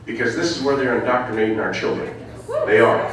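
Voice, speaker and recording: A man's voice: medium pitch, fairly quick, fairly bright tone, slight background noise. A man running for office giving a fiery, emphatic answer at a public forum. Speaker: political candidate. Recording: echoey hall from far off.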